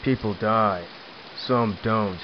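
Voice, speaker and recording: A man's voice: on the low side, takes it slowly. A man playing a callous, cynical soldier with a blunt, mocking way of talking in an audio drama. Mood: cold, sardonic. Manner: blunt, sardonic. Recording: muffled, noisy with rain-like hiss.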